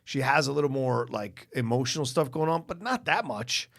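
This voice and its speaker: A man's voice: medium pitch, lively intonation, moderate pace, vocal fry, fairly bright tone. A man co-hosting a podcast, giving blunt, emphatic, sweary opinions in casual film chat. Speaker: podcast co-host.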